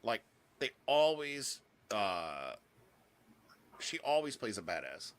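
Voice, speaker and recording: This is a man in his thirties on a podcast, rambling through casual chat. He is full of fillers and offhand swearing. His voice sits low. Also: big pitch swings, slow pace, a bit bright. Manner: rambling, lots of filler words and profanity. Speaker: podcast co-host.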